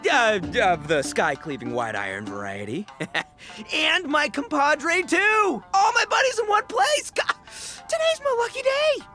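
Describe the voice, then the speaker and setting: Loud, excitable young gang boss. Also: male voice, extremely high pitch, measured pace, soft background music, heavily acted. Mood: excited, friendly. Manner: loud, excitable surfer-bro slang with stammering bluster. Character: boisterous, broke, good-natured young gang boss from a fantasy land. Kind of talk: animated video game voice acting.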